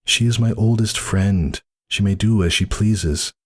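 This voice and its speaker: A man's voice: fairly low pitch, unhurried, pitched above the performer's own voice. A man voicing a weary but defiant pegasus mother, speaking earnestly in a dramatic story reading. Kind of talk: dramatic fan fiction reading.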